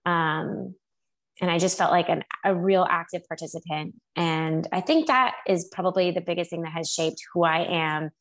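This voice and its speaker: A woman from Texas speaking as an interview guest, answering thoughtfully with many pauses and restarts. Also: on the low side. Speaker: guest, high school teacher. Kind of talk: casual conversational interview.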